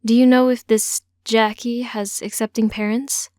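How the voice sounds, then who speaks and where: Woman playing a gentle, motherly mum. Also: a little high, level tone, unhurried, no room sound, studio quality, lightly acted. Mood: cautious. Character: caring, motherly older mother of an adult child.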